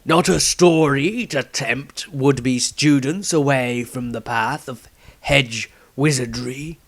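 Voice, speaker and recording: A man voicing a grave old patriarch of a wizard order in a fantasy audiobook, solemn and deliberate, with dramatic pauses. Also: medium pitch, dry recording, voice pushed up. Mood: solemn, emphatic. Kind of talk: fantasy audiobook character reading.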